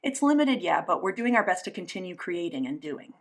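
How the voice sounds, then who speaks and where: A woman's voice: fairly low pitch, big pitch swings, fairly quick. A woman gives a spoken interpretation of a signed interview, working as an interpreter. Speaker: sign language interpreter voicing an interview.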